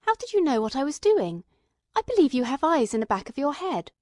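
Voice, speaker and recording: A woman's voice: sits high, big pitch swings, fairly quick, a little mellow, no echo. A woman playing an earnest, eager male doctor and companion who narrates a detective audiobook in careful, literate storytelling. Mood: curious, self-important.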